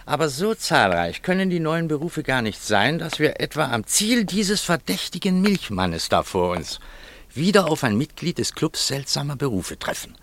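Theatrical amateur detective, swooping voice. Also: male voice, a little high, big pitch swings, moderate pace, a bit bright. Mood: suspicious, insistent. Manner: emphatic, insistent, dramatic. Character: eager, suspicious amateur male detective, stubborn and theatrical. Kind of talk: German radio play acting.

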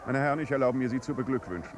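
An actor playing a stiff, formal older duke in a 1950s German radio drama, courtly, clipped and snobbish. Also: male voice, mid-range voice, lively intonation, very fast, over music, lightly acted, low-quality recording. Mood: dignified, affronted.

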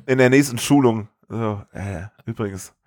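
A man co-hosting a podcast, chatting casually about films in an animated, incredulous way full of colloquial exclamations. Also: on the low side, normal speed. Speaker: podcast co-host. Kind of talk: casual film review chat.

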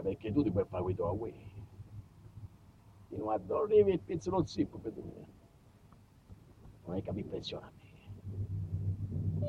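A man's voice: medium pitch, far from the mic, muffled recording, noisy background. A man playing a surly barber who grumbles in thick Brindisi-area southern Italian dialect.

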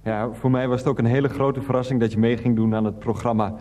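An actor playing an earnest, clueless middle-aged husband in a radio play, confessing in a halting, rambling, sincere way. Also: male voice, medium pitch, fairly even intonation, crowd noise, close to an everyday voice. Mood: tender, earnest.

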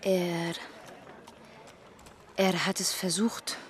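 An actress playing a shy, guarded young butcher's daughter in a radio drama, hesitant and naive. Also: female voice, on the low side, little pitch movement, drawn-out words, slight background noise. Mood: guarded, timid. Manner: hesitant, terse, naive, colloquial. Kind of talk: naturalistic radio drama acting.

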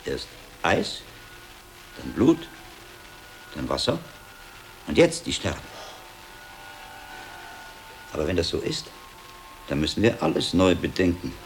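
An actor playing a sharp-minded, impatient medieval scholar monk in a German radio drama, reasoning aloud with deliberate emphasis. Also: male voice, low-pitched, wildly swooping pitch, creaky, faint music behind, full character voice, rough recording. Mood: brooding. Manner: deliberate reasoning aloud, given to exasperated outbursts.

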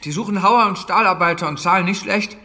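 Radio drama: reserved young farmhand home from war, higher-pitched and bright, understated, politely wry. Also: male voice, fast talker, heavily acted. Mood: sceptical, wry. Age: young adult. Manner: brief, understated replies. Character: reserved eighteen-year-old milker, war returnee, polite but wry. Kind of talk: naturalistic German radio drama acting.

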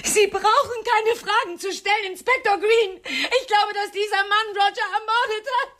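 Freshly widowed wife in radio noir: high voice, tense, clipped answers, defensive and accusing. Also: female voice, fairly even intonation, moderate pace, fairly bright tone. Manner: curt, defensive. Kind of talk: German radio crime drama acting.

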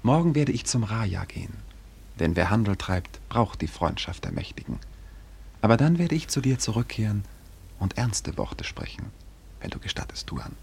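An actor in a German radio drama playing a proud, dignified young Malay prince who speaks formally and with measured care. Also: male voice, fairly low pitch, big pitch swings, vocal fry, a little mellow, husky, heavily acted. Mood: courteous, grave.

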